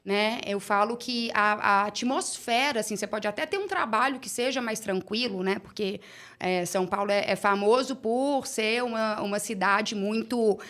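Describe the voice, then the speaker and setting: A woman's voice: mid-range voice, normal speed, fairly bright tone. A woman from Belo Horizonte, a podcast guest chatting casually and thinking aloud with plenty of fillers. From Belo Horizonte, Brazil. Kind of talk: casual chat.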